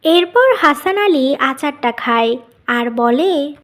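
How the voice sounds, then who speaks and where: A woman with a very high voice narrates a cartoon tale. Her delivery is scripted storytelling. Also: animated, takes it slowly.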